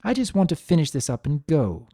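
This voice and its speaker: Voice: mid-range voice, sing-song, brisk, warm tone, no room sound, studio quality, voice pushed up. A performer voicing an impatient, scornful mare and mother with a curt, strained delivery, in a dramatic fan fiction reading. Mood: strained patience.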